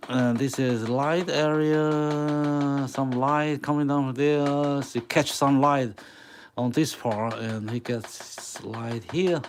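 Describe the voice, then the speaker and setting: A man whose first language is Mandarin Chinese, speaking English with a Mandarin accent, teaching chalkboard drawing live in halting, word-searching English. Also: mid-range voice, darkish tone, slight background noise. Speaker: art teacher.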